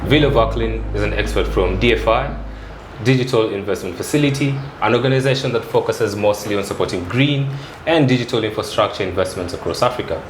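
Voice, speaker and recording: A man's voice: fairly low pitch, fairly quick. A man hosting a podcast, opening the show and putting questions to his guest in a friendly, encouraging way. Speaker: podcast host. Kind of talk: casual interview. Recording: echoey room with clear background noise.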